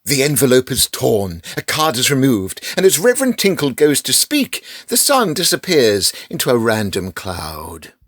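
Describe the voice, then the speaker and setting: A man's voice: mid-range voice, huge pitch swings, moderate pace, few pauses, a bit bright, no echo, clean studio sound. A man with an English accent giving dramatic, scripted narration for a comic radio play.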